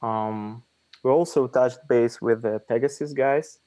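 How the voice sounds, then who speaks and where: A man giving a halting status update for his developer team on a video call, with many fillers. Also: mid-range voice, dark tone, no room sound. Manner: slow, hesitant, many fillers.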